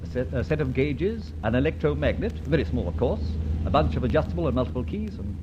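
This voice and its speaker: An actor playing a confident, genial gentleman safe expert in an old-time radio detective drama, with a jaunty, teasing manner. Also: mid-range voice, very animated, fast, background noise, natural voice. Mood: breezy. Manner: jaunty, teasing, self-assured. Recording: dull vintage radio recording, faint music.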